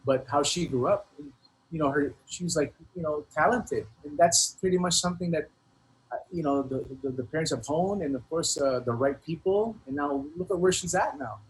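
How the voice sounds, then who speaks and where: A man talking casually as an interview guest, halting and earnest, often repeating himself. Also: fairly high pitch, lively intonation, rough recording. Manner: rambling, earnest, halting, many repeated phrases. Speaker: hip-hop artist being interviewed. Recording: noticeable background noise.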